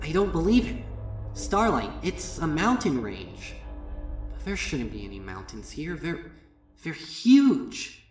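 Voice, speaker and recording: A man voicing a female winged pony, awestruck and terrified, speaking in halting, breathless bursts. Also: a little high, wildly swooping pitch, darkish tone, heavily acted. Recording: echoey, distant, music behind.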